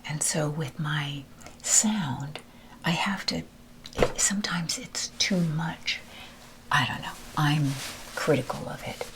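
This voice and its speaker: A woman whispering a rambling ASMR chat while ironing, her voice with a deeper timbre. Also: slow pace, noisy background. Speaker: ASMR creator. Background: ironing noises.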